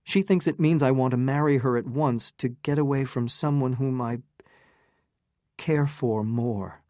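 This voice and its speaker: An earnest young gentleman, as a storybook narrator reads him, low and urgent and close to plain narration. The voice is mellow and dry, with no room around it. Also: a little slow, dry recording, natural voice.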